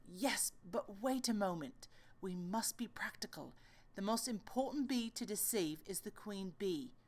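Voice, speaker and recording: A woman voicing an earnest, naive male toy bear who schemes in a deliberate, exclamatory way for a storybook. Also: medium pitch, lively intonation. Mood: earnest scheming.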